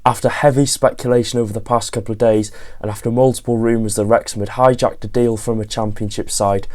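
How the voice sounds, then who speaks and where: A hoarse man with a cold, hosting a casual football vlog and talking through a new signing with lots of "of course" and "you know". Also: mid-range voice, little pitch movement, a little mellow. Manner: talks fast with many fillers like "of course" and "you know". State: hoarse from illness. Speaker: football fan channel host.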